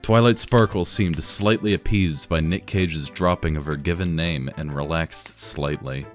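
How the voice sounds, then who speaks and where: A man reading a fan story aloud in a dry, deadpan narrating manner. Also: rumbling low, measured pace, faint music behind. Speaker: reader.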